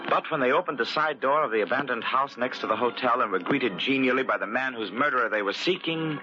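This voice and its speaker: A man narrating an old-time radio mystery as an ominous storyteller, with a measured, dramatic delivery. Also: mid-range voice, muffled recording, natural voice. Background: music.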